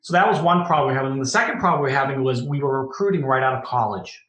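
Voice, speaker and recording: A middle-aged man with a Boston accent, a podcast guest telling business stories in a lively, chuckling way. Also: mid-range voice, very fast. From Boston, USA. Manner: animated, chuckles through anecdotes. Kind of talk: casual interview storytelling. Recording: roomy echo.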